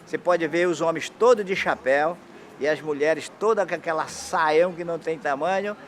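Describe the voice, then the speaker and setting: A man's voice: fairly high pitch, normal speed, a little hiss or hum. A Brazilian man explaining old photographs of his hometown in a casual, pointing-out way, often repeating "here" and "look". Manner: pointing things out, repeating "aqui" and "olha". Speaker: local resident showing old photos.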